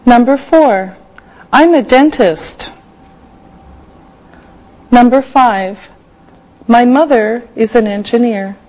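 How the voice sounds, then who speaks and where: Slow, careful older woman teacher. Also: fairly high pitch, darkish tone, rough recording. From Canada. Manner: slow, clearly enunciated dictation. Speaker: English teacher. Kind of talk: slow scripted classroom teaching. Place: TV studio set. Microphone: lapel mic.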